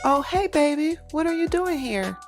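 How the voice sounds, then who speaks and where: A woman's voice: high-pitched, big pitch swings, normal speed, over music. A woman playing a sassy, scheming girlfriend in an audio drama, with casual, teasing slang. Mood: nervous, sweet.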